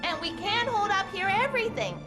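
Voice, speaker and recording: A tiny, earnest cloud scientist in a children's cartoon. The voice is extremely high and very animated, under loud music. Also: female voice, brisk. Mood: apologetic, explaining. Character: earnest, alarmed electric cloud-observatory scientist creature. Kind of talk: cartoon voice acting.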